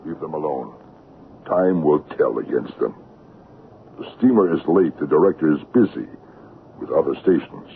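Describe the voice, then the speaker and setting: An actor playing a stern, unforgiving local man in a 1970s radio drama, cold, curt and measured. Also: a bass voice, animated. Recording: hissy, tinny old radio broadcast.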